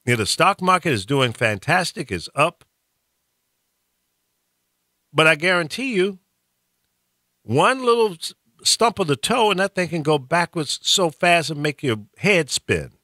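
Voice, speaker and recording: Man, blunt talk-radio ranter: animated delivery, dry close sound. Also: a little high, a little slow, pauses often, no echo. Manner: blunt, fiery, stammers and repeats words. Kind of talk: political rant on talk radio.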